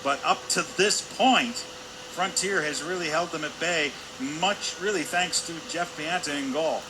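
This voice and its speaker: A man's voice: fairly high pitch, measured pace. A man giving live color commentary on a hockey game in a conversational, discursive manner. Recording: clear arena noise behind voice. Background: hockey arena ambience.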